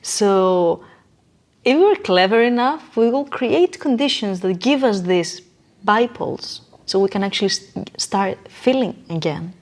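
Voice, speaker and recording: A woman's voice: mid-range voice, lively intonation, a little slow. A woman guest in an interview, explaining her ideas conversationally in emphatic, short declarative phrases and repeating her key points.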